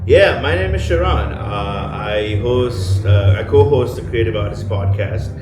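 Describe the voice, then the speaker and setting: A man's voice: lowish voice, expressive, unhurried. A man in his thirties from Sri Lanka, a podcast co-host, talking reflectively with many fillers as an interview guest at a studio meetup. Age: 30s. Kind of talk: casual interview chat. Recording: echoey, dull sound, loud music behind.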